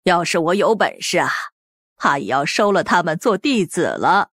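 A woman voicing a genial, admiring elderly noblewoman in an audiobook drama, with a husky, drawling delivery. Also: on the low side, big pitch swings, normal speed, fairly bright tone, dry recording, clean studio sound.

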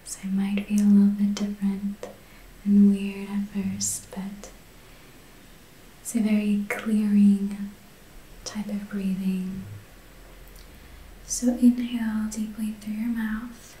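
Whispery, breathy woman doing soft ASMR. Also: mid-range voice, flat delivery, reverberant, slight background noise, poor audio. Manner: gentle, soothing, unhurried guiding. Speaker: ASMR Reiki practitioner and host. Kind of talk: soft-spoken ASMR guided healing session.

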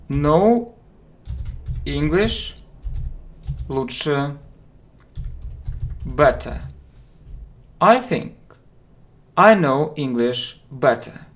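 A man teaches English at a very slow, deliberate pace, breaking each phrase into single words and repeating them. He speaks into a webcam at a home desk, and keyboard typing and mouse clicks are heard behind him. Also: on the high side. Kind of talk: slow dictation-style language lesson. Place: home room, indoors.

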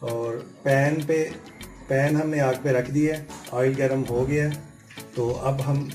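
A man with a Pakistani Urdu accent, hosting a home cooking tutorial and giving calm step-by-step instructions in a home kitchen. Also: mid-range voice, takes it slowly. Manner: calm step-by-step instructions, often saying "theek hai". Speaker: cooking channel host. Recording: background music, oil sizzling. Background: music and frying sizzle.

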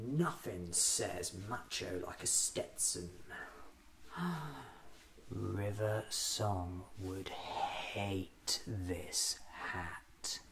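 Eccentric male time traveller acted by a man, ASMR roleplay, playful musing, lively pitch, soft delivery, noisy background. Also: creaky, exaggerated voice. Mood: playful, vain. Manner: whimsical, musing aloud, unhurried.

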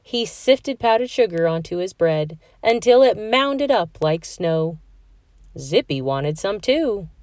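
A woman reading a children's story aloud in a measured, expressive way. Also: mid-range voice, no room sound. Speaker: storybook reader. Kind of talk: children's read-aloud narration.

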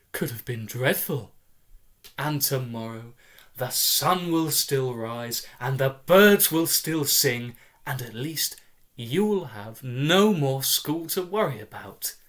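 A man's voice: medium pitch, lively intonation, takes it slowly, a bit bright. A relentlessly cheerful middle-aged mother, acted by a man, doting and cooing. The delivery is chirpy and consoling, in the manner of a comic story told aloud. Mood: upbeat, consoling.

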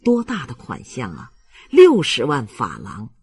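Slow, husky male audiobook narrator. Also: medium pitch, wildly swooping pitch. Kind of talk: dramatic literary narration.

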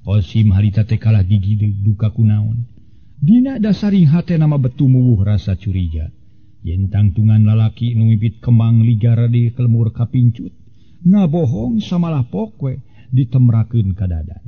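A man's voice: mid-range voice, animated, normal speed, slightly rough. A man with a Sundanese accent telling a serial folk tale as a dramatic storyteller. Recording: slightly dull sound, music bed. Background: music.

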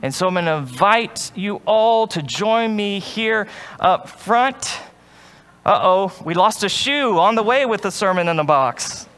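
A man in his thirties, a pastor giving a children's object lesson from a church stage, gentle and halting with many restarts. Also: high-pitched, animated, smooth. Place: church sanctuary stage, seated among children.

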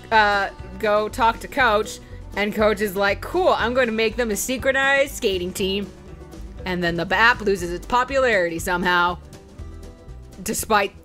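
A woman's voice: mid-range voice, a bit bright. A woman casually recapping a video game as host, chatty, joking and sweary, with playful asides. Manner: chatty, sweary, with playful mock voices for quotes. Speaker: let's play host.

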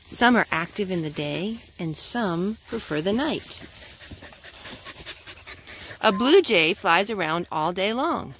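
A woman's voice: medium pitch, animated, takes it slowly, pauses often. A woman, a mother reading a picture book outdoors and chatting to her young child in a child-directed way. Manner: sing-song, child-directed. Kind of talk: informal read-aloud and chat with a young child. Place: outdoors in a forest. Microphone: handheld camera held by the speaker. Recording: clearly noisy outdoor handheld recording.

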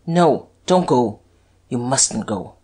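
A suspicious storybook detective, as performed by a narrator, a man with a husky voice. He speaks haltingly and mutters, shaken yet stubborn. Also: mid-range voice, big pitch swings.

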